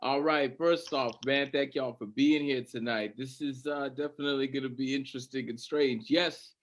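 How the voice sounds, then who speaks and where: A man's voice: mid-range voice, fairly even intonation, normal speed. A man from Canada doing stand-up, telling a story in a casual, profane way. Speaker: stand-up comedian.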